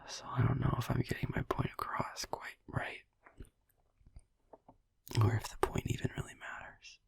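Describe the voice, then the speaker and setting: A man playing a sleepy, gentle, reassuring vampire boyfriend in a whispered sleep-aid audio roleplay, rambling in a hesitant, breathy, husky murmur. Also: medium pitch, flat delivery, no room sound, exaggerated voice. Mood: drowsy, rambling. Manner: rambling, hesitant, halting, drowsy.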